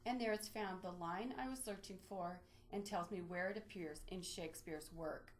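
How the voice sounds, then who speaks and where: A woman presenting an instructional software walkthrough, explaining methodically step by step in a lightly improvised way. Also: on the low side, moderate pace. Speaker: presenter of a library database tutorial.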